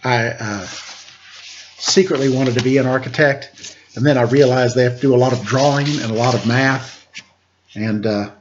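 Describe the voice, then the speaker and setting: A man's voice: mid-range voice, fairly even intonation, moderate pace, long pauses, lo-fi sound, background noise. A man hosting a storytime for children, reading aloud and chatting casually to his young listeners.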